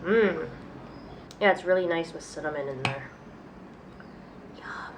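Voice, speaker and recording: Slightly low, congested young woman vlogging. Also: unhurried, noisy background. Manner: chatty, upbeat, laughs often. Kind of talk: casual cooking vlog. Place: small apartment room and kitchen, indoors.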